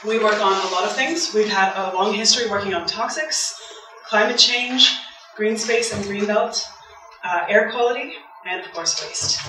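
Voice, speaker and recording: A soft-voiced woman from Canada, a waste campaigner giving a public talk, chatty and eager. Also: medium pitch, moderate pace, background noise. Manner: chatty, eager, laughs often. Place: event hall. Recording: very echoey hall from far off.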